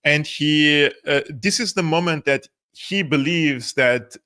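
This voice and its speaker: A man's voice: a little high, sharp tone, clear, resonant tone. Armenian-accented Syrian man hosting. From Syria, Aleppo. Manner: thoughtful, halting, frequent restarts and fillers. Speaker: interview host. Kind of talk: conversational interview.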